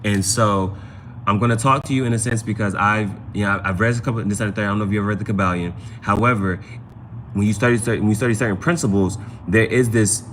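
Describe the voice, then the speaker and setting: Man reading tarot over clear background noise. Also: on the low side, fairly even intonation, quick pace, a bit bright, low-quality recording. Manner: conversational, repeats phrases and adds "you know what I'm saying". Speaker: tarot reader hosting a live stream. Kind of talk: casual live tarot reading with advice.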